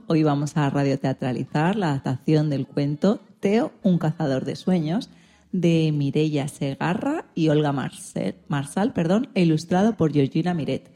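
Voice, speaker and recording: A woman's voice: low voice, warm tone. A woman presenting a radio programme segment from a script.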